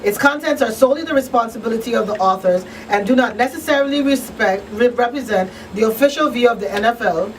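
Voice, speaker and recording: A woman's voice: on the high side, quick pace, few pauses. A woman hosting a casual radio talk show, speaking emphatically and sometimes reading out announcements. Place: radio studio. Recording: distant mic with faint background noise.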